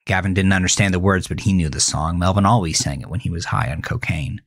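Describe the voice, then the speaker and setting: A man narrating a scripted horror thriller in a dramatic, deliberate manner. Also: low voice, creaky, slightly rough, no room sound, studio quality.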